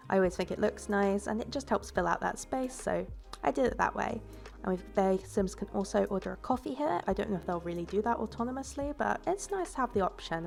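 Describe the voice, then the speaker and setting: A woman's voice: medium pitch, vocal fry, a little mellow. Stuffy-voiced woman, casual voice-over. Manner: casual and rambling, with fillers and self-corrections. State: stuffy from a cold. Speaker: game builder and YouTuber. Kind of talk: relaxed voice-over commentary on a building video.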